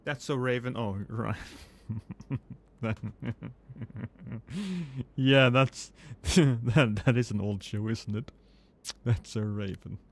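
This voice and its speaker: A man's voice: very slow, pauses often. A man in his thirties chatting casually and profanely with viewers on a live stream, rambling and joking at his own expense. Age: 30s. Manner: rambling, self-mocking, profane; swings between loud excited bursts and low mumbles.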